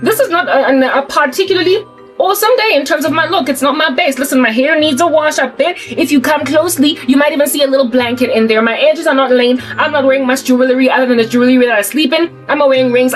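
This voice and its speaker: A South African woman in her forties, talking casually to camera in an emphatic, colloquial rant that repeats and restarts words. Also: sits high. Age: 40s. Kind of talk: casual ranting monologue to camera.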